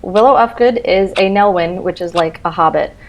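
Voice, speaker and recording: A woman's voice: fairly low pitch, moderate pace, poor audio. A woman guesting on a podcast, chatting casually and comically in a wry way. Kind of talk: casual comedic chat. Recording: slight background noise.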